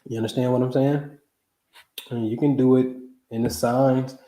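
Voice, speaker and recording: Slangy, animated man in his forties. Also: mid-range voice, moderate pace, reverberant, low-quality recording. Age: 40s. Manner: loose, slangy and animated, with frequent "you know what I'm saying". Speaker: astrology livestream host. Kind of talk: casual live talk.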